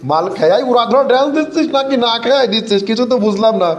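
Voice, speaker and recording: Actor as high, fast-talking village drinking buddy. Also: male voice, animated. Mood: teasing, egging on. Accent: Bogura, northern Bangladesh. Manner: slangy, nagging, teasing. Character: teasing young village drinking buddy, bossy but cautioning. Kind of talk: comic cartoon voice acting. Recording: dull lo-fi sound.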